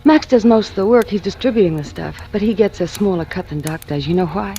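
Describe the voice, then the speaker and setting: An actress playing a scheming, nervy femme fatale in a vintage radio crime drama, conspiratorial and melodramatic. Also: female voice, mid-range voice, fast, dark tone, lightly acted. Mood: scheming, seductive. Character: frightened, scheming femme fatale, a gangster's lover. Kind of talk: 1940s-50s radio noir acting. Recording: muffled vintage radio recording.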